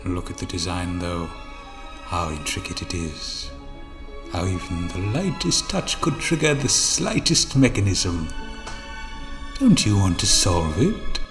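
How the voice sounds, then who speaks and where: An actor playing a sly captive tempter in an audio drama, measured, insinuating and ominous. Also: male voice, low voice, lively intonation, over music. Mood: coaxing.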